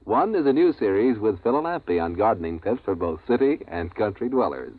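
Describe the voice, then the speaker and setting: Old radio network guy reading a promo, polished and lively, thin tinny sound, no room echo. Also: on the low side, normal speed, husky. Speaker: network announcer. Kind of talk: 1950s radio promo announcing, scripted.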